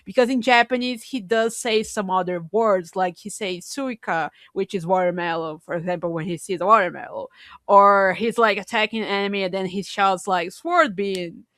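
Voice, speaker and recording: Woman podcaster, halting delivery, dry close sound. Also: medium pitch, fairly even intonation, a bit bright, no room sound. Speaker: podcast co-host. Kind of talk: casual podcast discussion.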